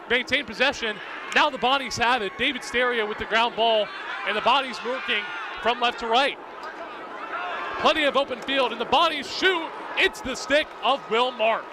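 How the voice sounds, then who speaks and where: High-pitched young man calling sports, noisy background. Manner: vivid, clipped present-tense calls, excited on shots. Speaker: play-by-play announcer. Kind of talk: live radio sports commentary. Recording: outdoor broadcast with clear background noise.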